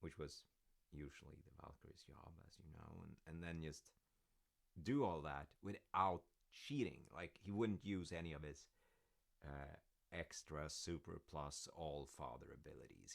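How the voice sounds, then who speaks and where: A man playing a supportive, rambling dad in a roleplay audio, talking gently and hesitantly to his grown child in a gravelly voice. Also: extremely low pitch, moderate pace, exaggerated voice. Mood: amused storytelling. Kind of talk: roleplay audio, one-sided conversation.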